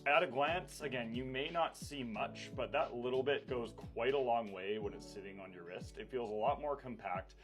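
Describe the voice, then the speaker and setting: Music plays behind a man presenting a casual product review. His voice sits at an average pitch for a man. He talks like a vlogger, informal and conversational, with clear enthusiasm for the gadgets he covers. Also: moderate pace, hardly any pauses, fairly bright tone. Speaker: tech reviewer. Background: background music.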